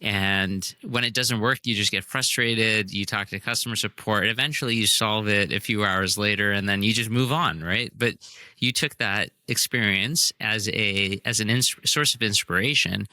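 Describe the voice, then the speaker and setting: A man's voice: fairly low pitch, moderate pace, bright, forceful tone, no echo. A man hosting a podcast who talks casually and eagerly, often stumbling and repeating words. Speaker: podcast host. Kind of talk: casual interview talk.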